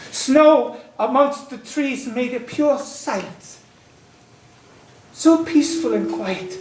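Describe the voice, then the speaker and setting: An actor in a stage play playing a devout, wistful imprisoned man in love, speaking in a dreamy, dramatic storytelling manner. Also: high-pitched. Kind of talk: theatrical stage-play monologue and dialogue. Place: stage, recorded with room echo. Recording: echoey stage with background noise and clicks.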